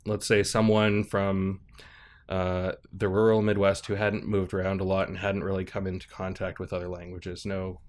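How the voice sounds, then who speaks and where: Guy with a lowish voice, young adult academic, calm explainer, keeps asking right?. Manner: measured, thoughtful, frequent "right?" checks. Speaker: linguistics professor guest. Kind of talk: explanatory interview talk.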